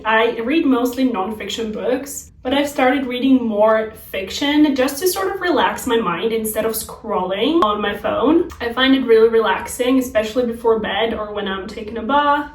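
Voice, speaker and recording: A woman's voice: fairly high pitch, normal speed, hardly any pauses, slight background noise. A young adult woman from Slovakia with a Slovak accent, a native Slovak speaker, chatting casually to viewers as a lifestyle vlogger in an open, earnest way. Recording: roomy, distant microphone.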